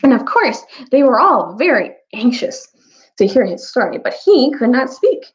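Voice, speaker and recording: A woman storyteller giving an expressive read-aloud of a children's fantasy novel. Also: a little high, moderate pace. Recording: dull, lo-fi audio.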